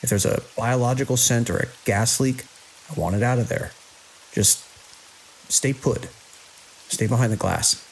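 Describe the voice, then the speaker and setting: An actor playing a grim, commanding security chief in a horror audio drama, giving terse, urgent orders. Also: male voice, medium pitch, measured pace, creaky, pauses often, rough recording. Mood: tense, commanding. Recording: constant hiss and rain-like noise.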